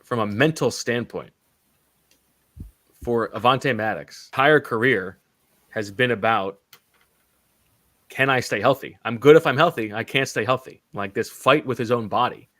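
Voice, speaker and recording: A man's voice: mid-range voice, unhurried, long pauses, bright, forceful tone, no room sound. A man co-hosting a sports podcast in casual chat, joking and teasing his partner.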